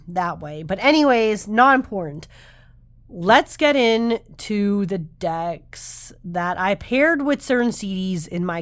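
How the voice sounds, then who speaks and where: A woman's voice: medium pitch, dry recording, clean studio sound. A woman in her thirties, slightly nasal while recovering from the flu, chatting to camera in a rambling, self-interrupting way as a tarot vlogger. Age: 30s. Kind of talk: casual chat to camera.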